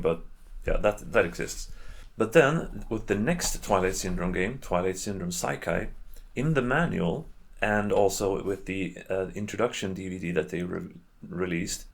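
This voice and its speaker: A man hosting a video in casual, unscripted commentary, with a halting, rambling and hedging way of talking. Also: sits low, vocal fry. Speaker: video host reviewing games and adaptations.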